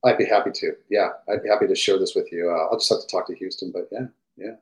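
A middle-aged man with a fairly deep voice, chatting enthusiastically as a podcast guest through a gaming headset, with fillers and restarts. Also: fairly even intonation, fast talker, poor audio. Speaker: guest, game industry executive. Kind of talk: casual podcast chat.

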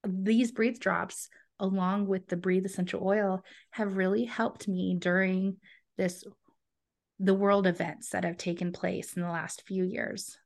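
A woman's voice: on the low side, creaky, a little mellow. Chatty woman podcast co-host. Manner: chatty, enthusiastic, addresses listeners directly. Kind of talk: casual podcast chat.

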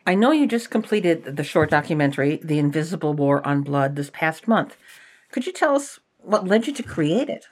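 A woman hosting an interview podcast, introducing and questioning in a measured, polite manner. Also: deep voice, expressive, darkish tone.

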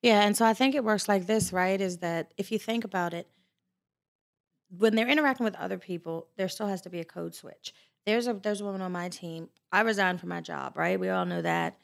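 An American woman talking candidly in a casual panel discussion, blunt, opinionated and quick to swear. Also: medium pitch, creaky. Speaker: podcast panelist.

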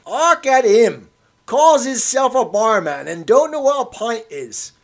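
A man's voice: very high voice, big pitch swings, a little slow, creaky, pitched above the performer's own voice, full character voice. A man voicing a stubborn, grumbling old London working man, dropping his aitches. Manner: querulous, pugnacious, dropped aitches.

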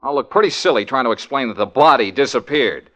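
An actor playing a brash young private detective, flippant and excitable, in old-time radio comedy-mystery style. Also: male voice, medium pitch, fast talker, natural voice. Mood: flustered, eager. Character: brash, wisecracking young male private detective, flustered novice.

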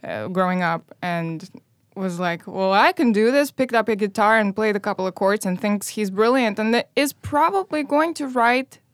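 A woman in her thirties whose first language is Russian, a violinist guest talking casually, animated, laughing often and dropping into whispered asides. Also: mid-range voice, normal speed, clean tone, no room sound. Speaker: guest musician. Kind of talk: casual podcast chat. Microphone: headphones and studio mic.

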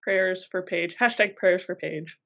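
A woman's voice: lowish voice, fairly even intonation, measured pace, gravelly, fairly bright tone, highs cut off. Playful slangy woman podcast co-host. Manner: playful, laughs often, slangy. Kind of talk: casual chat.

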